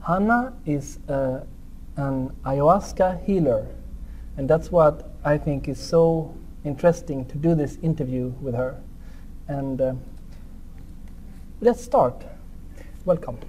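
A man's voice: fairly high pitch, slow, pauses often, a little mellow, slight background noise, poor audio. A middle-aged Swedish man with a Swedish accent, interviewing a guest in a friendly, hesitant way. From Sweden. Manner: friendly, hesitant, many fillers and restarts. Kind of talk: casual interview. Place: indoor lounge, seated at a table.

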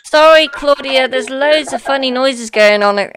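A woman's voice: high voice, a little slow. A woman hosting an online music session on a video call, friendly and a little scattered, with laughing asides. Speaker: session host. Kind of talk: casual online session chat. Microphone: computer mic on a video call.

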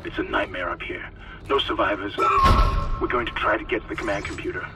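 A weary, grim survivor reporting in a sci-fi horror game, played by a male actor. His voice is on the low side, grave and terse, under very loud music. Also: a little fast, close to an everyday voice.

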